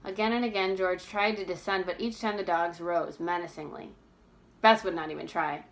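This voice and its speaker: A woman reading a storybook aloud as narrator, with expressive, careful, dramatic delivery. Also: fairly low pitch, moderate pace, rough recording.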